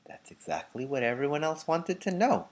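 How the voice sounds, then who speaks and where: Man reading a storybook with animated pitch. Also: normal speed. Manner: dramatic, shifts between projected and hushed. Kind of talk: expressive children's book narration.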